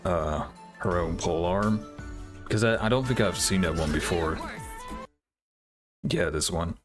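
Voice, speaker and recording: A man with a low voice gives off-the-cuff commentary as a reaction video host. He thinks aloud informally and is easily amazed, with some music behind him. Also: unhurried.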